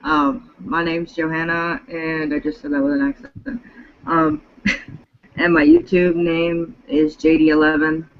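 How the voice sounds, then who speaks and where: A woman in her twenties talks as a guest in a relaxed group conversation. She hesitates often, with many ums and restarts, in a fairly low voice. The recording sounds dull and muffled. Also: a little slow. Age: 20s. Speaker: panel guest. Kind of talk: casual group chat.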